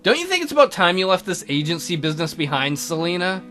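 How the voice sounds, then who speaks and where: A man's voice: sits high, sing-song, fast, bright, forceful tone, soft background music, full character voice. A man voicing an exasperated, awkward young male tutor in a visual novel. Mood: weary, skeptical.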